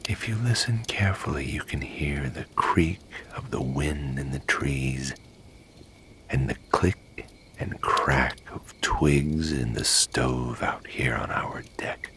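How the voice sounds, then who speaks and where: A man narrating a scripted bedtime story in a breathy, near-whispered, hushed and lingering way. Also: extremely low pitch, takes it slowly, noisy background.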